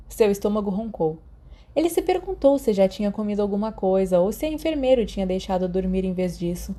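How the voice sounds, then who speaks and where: A woman's voice: medium pitch, warm tone. A woman with a Brazilian accent reading a story aloud as a scripted narration. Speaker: audiobook narrator.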